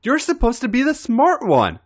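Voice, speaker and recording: A man's voice: very high voice, wildly swooping pitch, normal speed, voice pushed up, heavily acted. A flustered, bossy male lover in a read-aloud story, acted out by a man. The voice is pushed upward and swoops wildly. He sounds folksy, whiny and easily exasperated.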